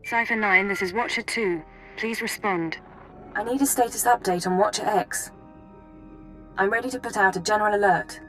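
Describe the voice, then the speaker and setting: A woman's voice: mid-range voice, moderate pace, a bit bright, faint music behind, lightly acted, poor audio. A woman playing a controlled, efficient intelligence handler in a video game, speaking in a clipped, formal way. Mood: businesslike concern. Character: brisk, clinical, controlled female intelligence handler. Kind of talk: video game cutscene acting.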